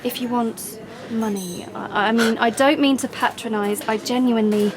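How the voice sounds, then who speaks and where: A woman's voice: fairly high pitch, fairly even intonation, normal speed, a crowd in the background, natural voice. An actress playing an anxious, well-meaning young woman in an audio drama, speaking in an earnest, hesitant way. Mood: earnest, pleading. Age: young adult. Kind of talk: audio drama monologue and dialogue.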